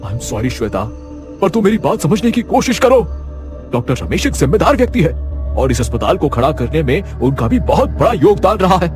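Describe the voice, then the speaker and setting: A man's voice: on the high side, big pitch swings, moderate pace, darkish tone, faint music behind, voice pushed up. A man voicing an angry, domineering husband and hospital boss, scolding and insistent.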